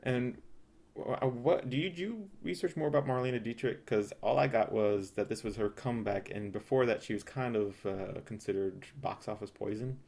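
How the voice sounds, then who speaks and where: A man's voice: mid-range voice, normal speed, gravelly, a little mellow. A man co-hosting a podcast, chatting casually about films. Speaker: podcast co-host.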